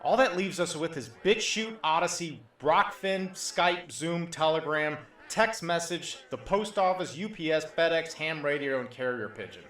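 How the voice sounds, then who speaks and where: A man's voice: fairly high pitch, normal speed, few pauses, a bit bright, natural voice. A man playing a jumpy, cynical survivor sidekick in an apocalyptic audio drama, with a sardonic, dramatic delivery. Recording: roomy echo, faint dramatic music behind.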